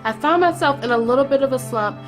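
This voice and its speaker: A woman's voice: high-pitched, fast, music in the background. A young woman chatting through her monthly book wrap-up, a bit congested from a cold, with frequent "um"s and words doubled for emphasis. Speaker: book vlogger. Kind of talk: casual monthly reading wrap-up.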